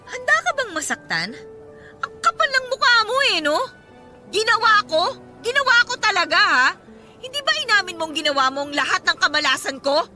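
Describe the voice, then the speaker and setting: A woman's voice: very high voice, big pitch swings, fairly quick, bright, forceful tone, faint music behind, full character voice. An actress playing an indignant, sarcastic mother who feels bitterly wronged, in an emotional radio drama style. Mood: indignant, hurt. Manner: biting, sarcastic retorts.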